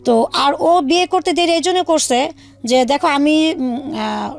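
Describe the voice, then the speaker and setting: A woman's voice: high voice, a little slow, soft background music. A woman telling her own life story as a radio interview guest, recounting it in detail and acting out remembered dialogue in her own voice. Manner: recounts in detail, quoting past conversations as dialogue.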